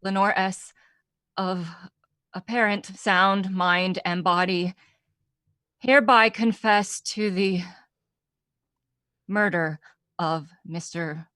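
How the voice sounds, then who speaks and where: An actress playing a woman prisoner who gives a written confession in a formal, deliberate reading. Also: medium pitch, fairly even intonation, sharp tone, no echo, studio quality. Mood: grave, resolute.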